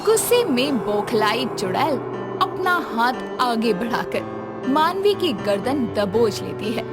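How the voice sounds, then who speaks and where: A woman narrates a scripted story at a slow pace. Her voice is fairly high and swings expressively. Loud music plays underneath. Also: very animated.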